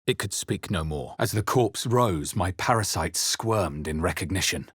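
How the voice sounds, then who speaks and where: Brooding game hero narrating, really deep husky voice, grave and measured, dramatic pauses. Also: male voice, expressive, fairly bright tone, dry recording, clean studio sound, full character voice. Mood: solemn, suspenseful. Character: brooding male adventurer narrating his own dark memories. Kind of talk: solemn first-person narration in a fantasy video game.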